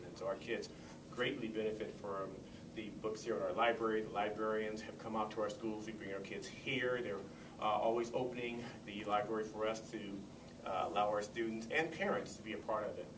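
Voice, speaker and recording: A middle-aged man from Southern California giving an informal public speech of thanks. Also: lowish voice. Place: library community room, indoors. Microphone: far camera microphone. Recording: distant camera, echoey room, clear noise.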